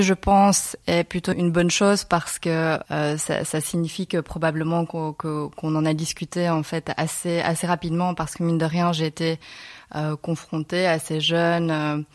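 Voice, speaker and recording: Low-voiced woman, reflective. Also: little pitch movement, no room sound, studio quality. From Belgium. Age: 30s. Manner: reflective, pauses often to find her words. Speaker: guest. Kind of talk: personal storytelling interview.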